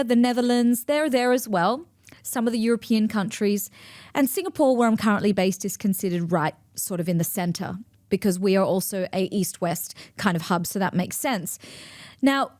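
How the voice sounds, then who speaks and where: A woman in her forties, hosting her own show and explaining ideas to listeners in a lively, expressive way. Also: mid-range voice, dry recording, clean studio sound. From grew up between East and West, in Australia. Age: 40s. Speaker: podcast host and speaker. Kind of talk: solo talk to listeners.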